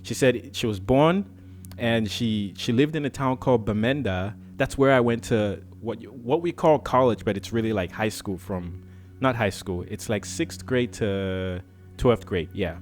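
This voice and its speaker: A man from Cameroon hosting a podcast, telling a personal story in a lively, casual way and acting out the dialogue. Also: a little slow, faint music behind. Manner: animated, acts out dialogue with swings in pitch. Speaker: podcast host.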